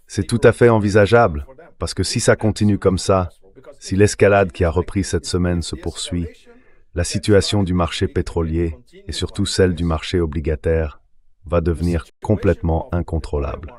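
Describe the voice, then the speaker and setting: A man's voice: low voice, fairly even intonation, moderate pace, a little mellow. A man's AI dubbing voice delivering translated political commentary as an interview guest. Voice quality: synthetic AI dubbing voice.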